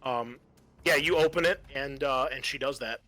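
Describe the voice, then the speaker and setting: A man narrating a tabletop horror game as game master, describing things graphically in a halting, casual way. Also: mid-range voice, sharp tone, no room sound.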